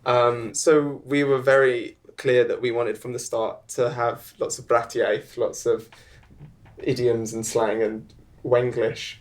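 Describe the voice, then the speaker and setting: A young man, a filmmaker guest, chatting at a live panel in a halting way, with many ums and restarts. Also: mid-range voice, level tone. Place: live event on stage.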